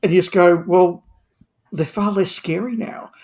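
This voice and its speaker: A man's voice: high-pitched, measured pace, darkish tone. A man speaking as a podcast guest in an opinionated discussion, stressing his points by repeating words and phrases. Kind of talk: animated opinionated panel discussion.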